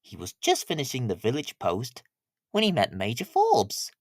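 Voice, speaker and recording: A woman's voice: very deep voice, wildly swooping pitch, normal speed, darkish tone, dry recording, clean studio sound. A woman narrating a children's story from a script.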